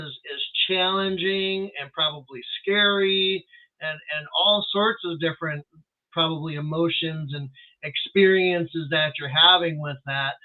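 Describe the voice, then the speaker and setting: A male host speaks in a friendly, encouraging way, nudging his guest to share more. His voice sits a little high. The sound is thin and tinny, like a phone line. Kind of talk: interview, casual chat.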